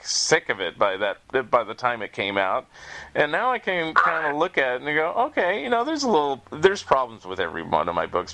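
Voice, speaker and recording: A man's voice: mid-range voice, sharp tone. A man hosting a casual interview show and talking as an author, in an easygoing, self-deprecating way with frequent chuckles. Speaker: podcast host and author.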